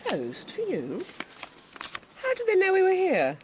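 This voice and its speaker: A woman's voice: very high-pitched, huge pitch swings, a little slow, darkish tone, a little hiss or hum, exaggerated voice. A woman in a radio drama playing an inspector's protective, mildly exasperated wife.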